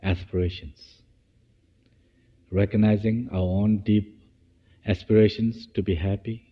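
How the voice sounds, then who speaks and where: A man with a fairly low, slightly husky voice guides a meditation. He speaks in short, halting phrases, feeling his way through each thought. The sound has a long hall-like reverb and is a little dull. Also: fairly even intonation. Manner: halting, phrase-by-phrase delivery with restarts. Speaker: meditation teacher.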